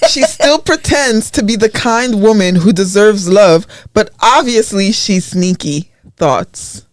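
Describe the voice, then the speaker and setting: A woman's voice: medium pitch, expressive, slow, dry recording. A woman whose first language is not English, co-hosting a casual podcast and reading out a listener's story, often breaking into laughter. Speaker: podcast co-host.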